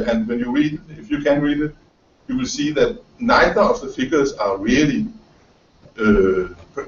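Danish-born journalist in his 50s, halting lecture delivery, picked up from afar in a roomy room. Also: mid-range voice, darkish tone, slight background noise. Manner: halting, many fillers and repeated words. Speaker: journalist and author giving a lecture. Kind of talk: lecture talk reading from notes. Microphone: far camera. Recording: roomy, distant, dull camera audio.

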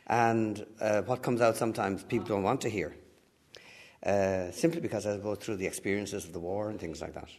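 A man talking reflectively in an interview, halting as he searches for words. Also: fairly low pitch, fairly even intonation, a little mellow. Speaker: actor interviewed.

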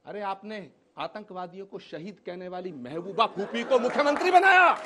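A man making combative political debate speeches as a party spokesman, forceful and declamatory. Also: high-pitched, expressive, normal speed, noisy background.

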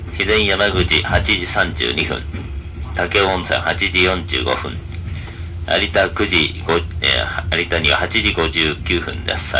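A man's voice: low-pitched, poor audio. A man working as a train conductor, giving formal, polite announcements to passengers. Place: inside a moving express train, over the PA. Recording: train PA with loud carriage noise. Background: loud train running noise.

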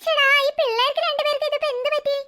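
A woman's voice: extremely high pitch, steady tone, rapid-fire, bright, forceful tone, a little hiss or hum. A woman voicing a busy mother who scolds and calls out impatiently from the kitchen.

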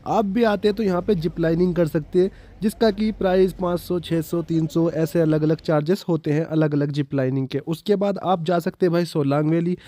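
Man, casual trip narration, slightly high, mellow tone, informative. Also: steady tone, fairly quick. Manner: informative, addresses viewers as brother. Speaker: travel vlog narrator.

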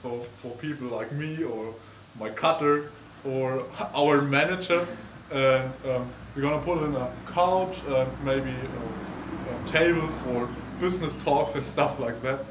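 A man's voice: mid-range voice, unhurried. A young man giving a casual, chatty walkthrough tour of an old wooden barn, with lots of ums, recorded on a camera mic from a distance. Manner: chatty, frequent ums, gestures while walking. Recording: echoey barn, distant mic, faint noise.

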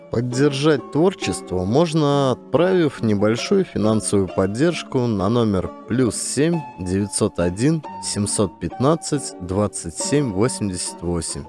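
A man reading scripted narration for a satirical family comedy audiobook. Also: lowish voice. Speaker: narrator, actor.

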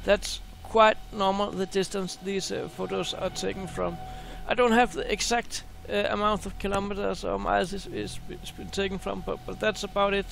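High gravelly man. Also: moderate pace, few pauses, faint music behind. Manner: excited, chuckling, repeats words. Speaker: space video host. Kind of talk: casual screen-share commentary.

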